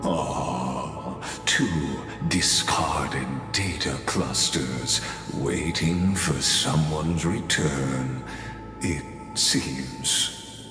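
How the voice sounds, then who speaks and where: A sinister, theatrical overseer from a video game, speaks in a bottomless low register. He is disdainful and deliberate, with the voice ringing through a long hall reverb over clear music. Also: heavily acted. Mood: cool, musing. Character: sinister, mocking male overseer watching doomed heroes. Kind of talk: video game dramatic monologue.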